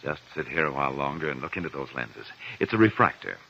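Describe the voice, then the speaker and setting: An actor playing a brisk, principled doctor with a simmering temper in a 1950s radio drama. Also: male voice, a bass voice, sing-song, gravelly, bright, forceful tone, slight background noise, heavily acted. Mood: calm, professional. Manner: brisk, clipped instructions. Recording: muffled old 1950s radio recording.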